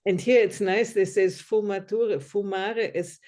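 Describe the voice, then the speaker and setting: A woman with a soft, mellow tone, German being her first language, talks in a relaxed group conversation. She speaks hesitantly, restarting sentences and hunting for the right words. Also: mid-range voice, measured pace. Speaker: discussion group member. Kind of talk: casual conversation.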